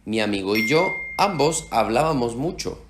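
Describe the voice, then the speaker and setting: A man whose first language is Slovak, teaching a Spanish pronunciation lesson and slowing down to spell out sounds clearly. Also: medium pitch, animated, noisy background.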